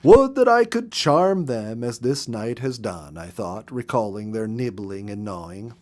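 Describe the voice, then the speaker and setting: Expressive male storyteller reading aloud. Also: lowish voice, measured pace. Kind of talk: expressive narrated audiobook reading.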